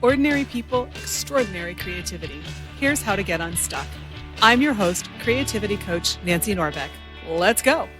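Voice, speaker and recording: A woman's voice: mid-range voice, animated, moderate pace. Woman podcast host with music behind. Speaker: podcast host and creativity coach. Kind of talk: scripted podcast introduction and interview.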